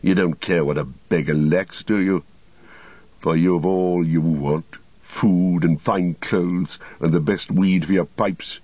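Guy doing sneering old fallen wizard for an audiobook, cracked deep voice, kinda muffled and echoey. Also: steady tone. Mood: sneering mockery. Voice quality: cracked, husky. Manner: mocking, drawn-out archaic phrasing.

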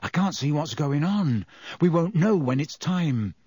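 A tense, determined teenage boy in an audiobook, read by a man close to his own husky voice. He speaks quietly and earnestly. The sound is dry and close. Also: fairly high pitch, expressive, measured pace, no echo, pitched above the performer's own voice.

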